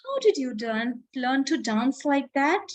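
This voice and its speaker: A woman's voice: high-pitched, sing-song, slow pace, exaggerated voice. A woman voicing astonished storybook jungle animals, exclaiming in wonder. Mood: amazed. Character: astonished crowd of jungle animals, full of awe.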